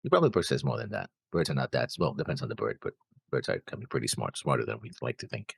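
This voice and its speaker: A man co-hosting a reaction show, talking casually through notes with halting, noncommittal phrasing. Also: very deep voice, sing-song, vocal fry, slightly rough, no room sound, studio quality. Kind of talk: casual discussion, reading out notes.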